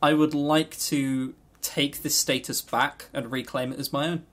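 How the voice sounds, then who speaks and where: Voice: mid-range voice, little pitch movement, measured pace, fairly bright tone. Podcast co-host for loose banter: sarcastic, jokey, happy to ramble off on tangents. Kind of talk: casual, tangent-prone banter about visual novels.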